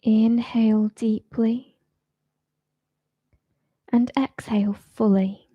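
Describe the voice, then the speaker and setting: A woman teaching yoga nidra, leading a guided relaxation in a soft, soothing, informal way. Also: on the high side, fairly even intonation, takes it slowly, no echo, lo-fi sound.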